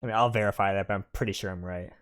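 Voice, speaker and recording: A man's voice: low-pitched, steady tone, rapid-fire, background noise. A man giving casual, rambling commentary as the host of a video game let's-play. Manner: rambling, conversational.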